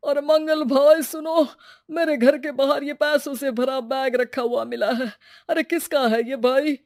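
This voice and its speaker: A woman's voice: high-pitched, fairly even intonation, measured pace, darkish tone, no echo, clean studio sound. Woman doing a plaintive old village man. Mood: startled. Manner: plaintive, lamenting. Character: distressed, poor elderly village man, a worried father. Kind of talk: Hindi moral-story cartoon dialogue.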